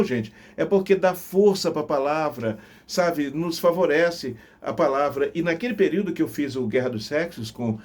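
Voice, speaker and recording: Middle-aged male, slightly high pitch. Seasoned radio storyteller, emphatic and theatrical, speaking directly to viewers. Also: a little mellow. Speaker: veteran radio announcer telling career memories on his channel. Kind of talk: reminiscing monologue to viewers.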